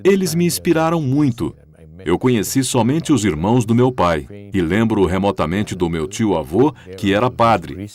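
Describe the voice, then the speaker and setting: A man with a Brazilian Portuguese accent voicing an interviewee's answers in a dubbed interview, speaking in a measured, reflective way. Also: lowish voice, lively intonation, darkish tone. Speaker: interviewee voice-over.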